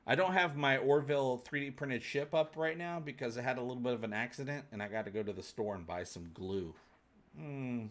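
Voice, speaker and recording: A man's voice: mid-range voice, a little fast, a bit bright. Male livestream host, jokey and colloquial, loose off-the-cuff rambling, mid-range voice. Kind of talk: casual chat, answering live viewer comments.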